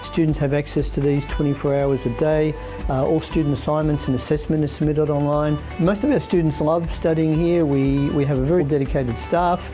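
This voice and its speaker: An older male lecturer speaking in a measured way in a promotional interview. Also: fairly high pitch, steady tone, warm tone, soft background music.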